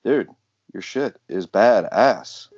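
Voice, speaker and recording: A man's voice: fairly low pitch, expressive, takes it slowly, darkish tone, dry recording. A man hosting a podcast in loose, swearing banter with his guests. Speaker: podcast host. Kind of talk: casual podcast chat. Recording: dull lo-fi podcast audio.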